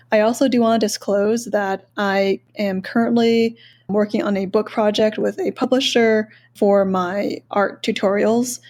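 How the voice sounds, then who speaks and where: A woman's voice: medium pitch, a little mellow. A woman selling her business, giving earnest, well-organized answers in a podcast interview and laying out her points one by one. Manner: self-promoting, proud of her work. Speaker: business seller.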